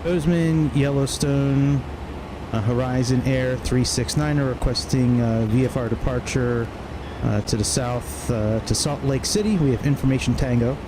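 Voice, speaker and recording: A man's voice: mid-range voice, moderate pace. A man streaming a flight simulator, talking casually and half to himself, with some swearing. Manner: muttering to himself, profane. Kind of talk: casual livestream commentary while flying a simulator. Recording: clear background noise, vehicle-like hum.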